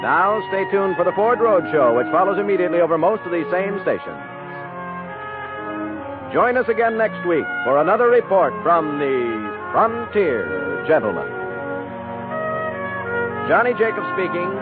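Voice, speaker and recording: A man's voice: high-pitched, sing-song, unhurried, long pauses. A man with an American accent reading scripted credits and promos as a 1950s network radio announcer. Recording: tinny 1950s radio broadcast, music behind.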